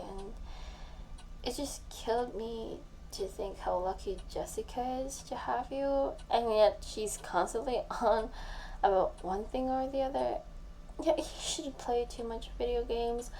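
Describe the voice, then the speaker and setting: A young woman playing a tipsy, needy, lovesick best friend in an intimate audio roleplay, rambling and halting as if leaving a voicemail. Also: a little high, mellow, low-quality recording. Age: young adult. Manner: drawn-out, rambling, sighing, halting. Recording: faint background noise.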